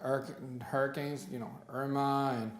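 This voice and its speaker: A man's voice: mid-range voice, flat delivery, normal speed. Laid-back guy cohost cracking jokes, kinda rambly, echoey studio. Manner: easygoing, joking, rambling. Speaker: talk show co-host. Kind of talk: casual studio chat. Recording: roomy studio echo.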